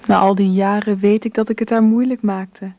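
A woman's voice: mid-range voice, flat delivery, moderate pace, slight background noise, close to an everyday voice. An actress playing a grown woman narrating memories of her childhood in a radio drama. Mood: reflective. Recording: slightly muffled radio recording.

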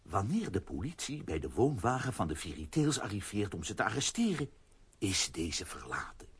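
A man narrating a children's radio adventure dramatically, in a rough, husky voice. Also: lowish voice, sing-song, moderate pace, exaggerated voice. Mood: dramatic. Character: animated storyteller of a children's adventure.

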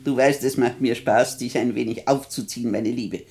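An actress playing a bedridden, rich old widow in a 1960 German radio play, imperious, teasing and dryly mocking in articulate speech. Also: female voice, a bass voice, fairly even intonation, moderate pace, darkish tone. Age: older.

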